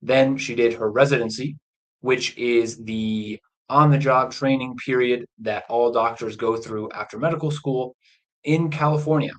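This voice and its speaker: A man teaches English by telling a true story, speaking slowly and plainly. His pitch sits in the middle range for a man. He pauses to explain words during a live stream. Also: fairly even intonation, rough recording. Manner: slow, clear explanations of key words. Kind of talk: slow storytelling lesson for learners. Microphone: livestream microphone.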